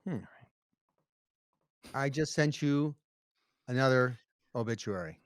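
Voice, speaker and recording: A man with a mid-pitched voice co-hosts a comedy podcast. He delivers his banter deadpan, as if completely earnest. The recording is very dry and close. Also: takes it slowly, no room sound.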